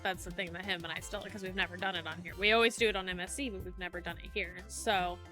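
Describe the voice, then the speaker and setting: A woman's voice: fairly low pitch, steady tone, quick pace, bright, forceful tone, faint music behind. A woman co-hosting a casual podcast chat, easygoing and chiming in to finish others' sentences.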